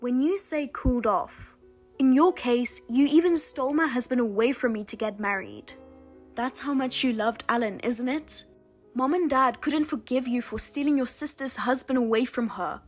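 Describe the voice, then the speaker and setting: A woman voicing a betrayed older sister who talks in a flat, cold, restrained way. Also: high voice, moderate pace, faint music behind. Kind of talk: narrated drama voice-over.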